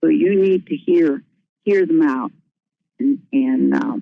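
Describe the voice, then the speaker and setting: Panel speaker, raspy fry in the voice, informal advice, dry phone sound. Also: medium pitch, slow pace, no echo. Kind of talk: informal, advisory panel remarks. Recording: thin phone-line audio.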